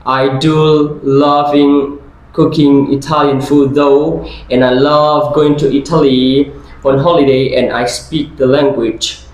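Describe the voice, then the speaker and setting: This is a man in his twenties who grew up in Brazil and speaks with Portuguese as his first language. He answers interview questions slowly and thoughtfully, at a middling pitch. His voice rings around a very echoey room. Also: flat delivery. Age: 20s. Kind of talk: scripted interview role-play.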